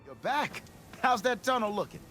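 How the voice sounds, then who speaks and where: An actor playing a blustering, scheming expedition leader in a video game, with grandiose storytelling. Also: male voice, very high voice, lively intonation, moderate pace, pushed and bright, soft background music, full character voice. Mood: excited, hopeful. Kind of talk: video game cutscene acting.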